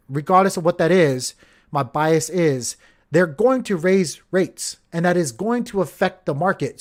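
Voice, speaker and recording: Rambling, chatty man from Hawaii. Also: a little high. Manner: rambling, chatty, lots of fillers and restarts; addresses viewers as guys. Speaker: crypto channel host. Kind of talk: casual market commentary.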